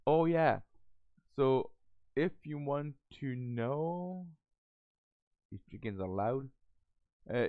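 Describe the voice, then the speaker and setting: A man's voice: medium pitch, gravelly, no room sound. A man hosting a game server, talking through a casual voice-over with many fillers and restarts while losing his voice to a cold. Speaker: Minecraft server host. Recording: tinny phone-like lo-fi sound.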